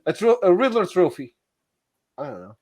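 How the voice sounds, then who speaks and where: A man with a slightly high voice hosts a livestream. His style is casual, conversational and informal. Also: big pitch swings, moderate pace, darkish tone. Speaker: host.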